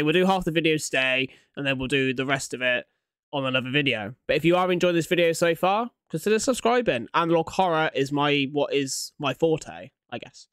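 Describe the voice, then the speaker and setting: A man's voice: medium pitch, fairly bright tone, clear, resonant tone, no echo, studio quality. A young man giving casual reaction commentary, musing aloud in an informal way as host of the video.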